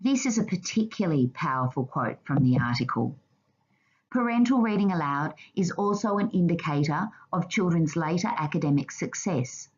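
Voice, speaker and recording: A woman narrating a scripted slide presentation in a formal, measured reading manner. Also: sits low, a little mellow, breathy edge, muffled recording.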